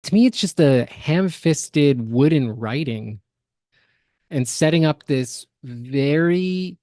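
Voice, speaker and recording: A man co-hosting a podcast, giving casual, critical commentary in an analytical, halting and emphatic way. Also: a little high, expressive, mellow, dry recording, studio quality. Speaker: podcast co-host reacting to game cutscenes.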